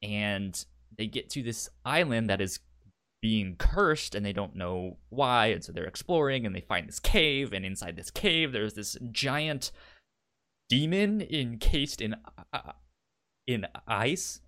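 A man co-hosting a casual book-club podcast, talking in a halting way with many restarts. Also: fairly low pitch, expressive, pushed and bright. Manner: halting, repeats and restarts words. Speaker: podcast co-host.

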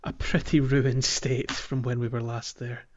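A man's voice: medium pitch, little pitch movement, a little slow, muffled recording. A man hosting a podcast, talking casually and reflectively about video games, with some hesitation as he goes. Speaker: podcast host. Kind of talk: casual video game discussion.

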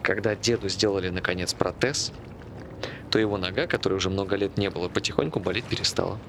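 An actor playing a calm, practical man who speaks laconically and asks probing questions in a naturalistic stage play. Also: low voice, fast, background noise. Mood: persuading.

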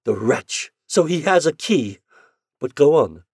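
A high, breathless, jealous young wife whose voice swoops up and down with worry, as a man puts her on. The sound is dry and close, with no room around it. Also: sing-song, unhurried, vocal fry, a little mellow, husky, no echo, full character voice. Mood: agitated. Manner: high, breathless, emotional delivery.

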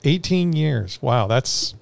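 A man's voice: mid-range voice, expressive, slow pace, gravelly, no room sound. A man hosting a casual podcast panel, joking and self-deprecating as he introduces his guests. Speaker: podcast host.

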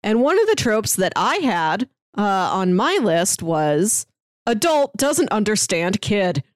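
A woman's voice: medium pitch, very animated, takes it slowly, dry recording, clean studio sound. A woman co-hosting a podcast in casual, unscripted conversation. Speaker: podcast co-host.

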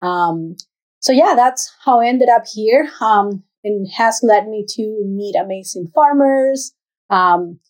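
Woman, Ecuadorian Spanish accent, mellow dark tone, medium pitch, conversational interview guest. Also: expressive, takes it slowly, low-quality recording. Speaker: interview guest, executive director.

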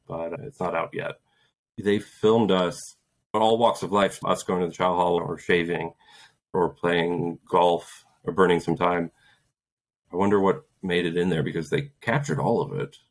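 A man in his thirties, raised in Poland, a soldier and author telling earnest, reflective stories as an interview guest. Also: low voice. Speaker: guest, Green Beret and author. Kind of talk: casual interview storytelling.

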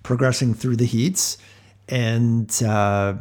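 A man hosting a casual sports podcast conversation, speaking in a measured, halting way. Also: medium pitch, fairly even intonation, darkish tone. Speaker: podcast host.

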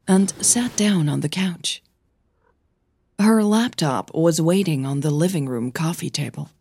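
A woman's voice: low-pitched, gravelly, darkish tone, husky, dry recording. A woman narrating a fiction audio drama in a scripted storytelling style.

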